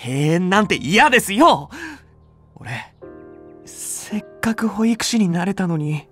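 Flustered young rookie teacher, boyish and eager, super animated, anime style. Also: male voice, high voice, slow, soft background music, full character voice. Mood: worried. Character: earnest, easily flustered rookie male nursery teacher. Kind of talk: anime voice drama acting.

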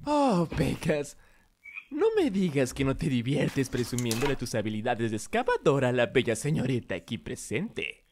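Man voicing a grandiloquent Mexican cartoon cat, wildly animated. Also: mid-range voice, moderate pace, heavy background noise. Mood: teasing. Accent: Mexican Spanish. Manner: grandiloquent, teasing. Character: flamboyant young male cat bootlegger, verbose, mischievous poet-fiddler. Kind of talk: theatrical animated fandub. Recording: music behind the voice.

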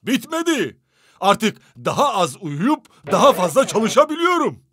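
A very high, swooping cartoon toy truck, proud and eager to work. He announces himself showily and takes his time over the words, with music playing behind. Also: very animated, a little slow, a bit bright, husky, no room sound, studio quality, exaggerated voice. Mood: boastful, eager. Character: boastful upgraded toy dump truck, male, eager and hardworking. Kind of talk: children's animated series voice acting.

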